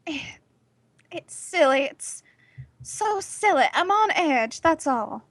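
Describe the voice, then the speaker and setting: Woman acting a high-voiced, nervous housewife. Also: sing-song, takes it slowly, fairly bright tone, no echo, exaggerated voice. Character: nervous, evasive suburban housewife and mother. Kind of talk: amateur radio play reading.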